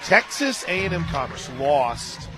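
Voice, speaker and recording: A man doing live play-by-play softball commentary for radio from a stadium booth. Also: fairly high pitch, big pitch swings, a little slow. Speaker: play-by-play announcer. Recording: crowd noise behind. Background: ballpark crowd and music.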